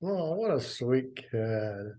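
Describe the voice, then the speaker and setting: A man's voice: medium pitch, very animated, slow pace, dark tone. A man reading a story aloud and breaking off for sarcastic, mocking commentary. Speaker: reader and commentator.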